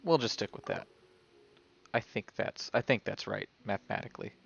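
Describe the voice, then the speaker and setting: A man's voice: fairly low pitch, moderate pace, creaky, a bit bright, muffled recording. A man streaming a video game, giving casual live commentary and thinking aloud as if talking to himself. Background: video game music.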